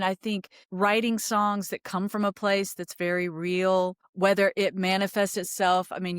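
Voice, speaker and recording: A woman's voice: on the low side, clean tone, no echo, studio quality. A woman hosting a casual podcast interview, appreciative and thinking aloud in rambling sentences. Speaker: podcast host.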